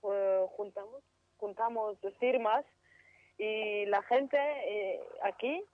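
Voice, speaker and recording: Halting woman on a dull phone line. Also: medium pitch, no room sound. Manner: hesitant, halting non-native speech, slips into English. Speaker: interview guest, activist. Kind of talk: halting radio interview over the phone.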